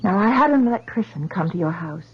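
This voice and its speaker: An actress playing a concerned, earnest woman who reasons gently and persuasively in a 1970s radio drama. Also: mid-range voice, animated, quick pace, husky. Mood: gentle, careful. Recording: dull vintage radio recording.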